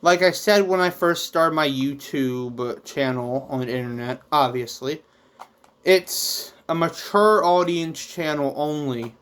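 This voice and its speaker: Rambling vlogger with slow, unhurried delivery. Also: mid-range voice, a bit bright, slight background noise. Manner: rambling, theatrical asides. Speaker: YouTube host and manga creator. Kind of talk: casual vlog update to viewers.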